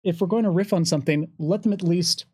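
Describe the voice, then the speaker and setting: A game designer speaking on a casual panel in a measured, articulate way with considered wording. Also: mid-range voice, mellow, husky, dry recording, studio quality.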